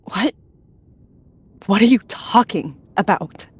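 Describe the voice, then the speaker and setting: A woman's voice: on the high side, expressive, normal speed, voice pushed up. A woman voicing a young female clan cat, loyal, emotional and stammering, in an animal roleplay drama. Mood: shocked.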